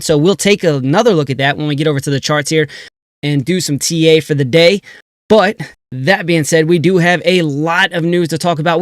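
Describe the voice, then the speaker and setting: A man's voice: on the high side, dry recording, clean studio sound. Hyped-up man hosting a crypto show. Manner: hype, energetic greetings. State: still a bit congested. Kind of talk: casual livestream chat.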